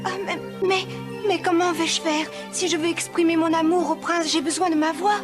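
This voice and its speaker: A lovestruck young cartoon mermaid. Her voice is extremely high and girlish, earnest, innocent and pleading. Music plays prominently behind her. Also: steady tone, moderate pace. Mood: anxious pleading. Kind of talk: dubbed animated fairy-tale acting.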